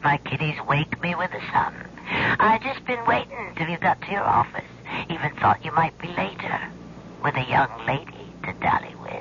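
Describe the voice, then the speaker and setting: A woman's voice: low voice, animated, normal speed, crowd noise. An actress playing an old cat-loving woman, kindly on the surface and secretly sinister, in old-time radio drama style. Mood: coy, folksy. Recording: muffled, lo-fi radio drama recording.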